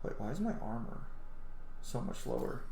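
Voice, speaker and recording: A man's voice: mid-range voice, very animated, moderate pace, creaky, reverberant, background noise. A man giving casual, sweary, effusive let's play commentary and reading game text aloud. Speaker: gamer, roleplay streamer.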